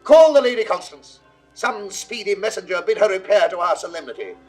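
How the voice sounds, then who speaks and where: An actor playing an imperious king in a 1960s classical Shakespeare audio drama, declaiming in a husky, theatrical voice. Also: lively intonation, fairly quick, faint music behind. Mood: commanding, expansive. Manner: declamatory, theatrical, swinging from hushed to booming. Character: imperious, grandiose king, bargaining and commanding.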